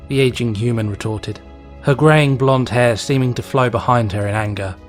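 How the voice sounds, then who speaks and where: A man's voice: mid-range voice, normal speed, soft background music. A man reading an action story aloud as narrator, in a dramatic scripted style. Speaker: fan fiction reader, narrator.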